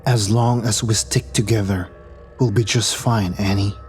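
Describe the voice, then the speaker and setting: A man's voice: fairly low pitch, fairly even intonation, unhurried, a little mellow, faint music behind, lightly acted. A man playing a protective, cautious crew member in an audio drama, speaking earnestly. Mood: tender.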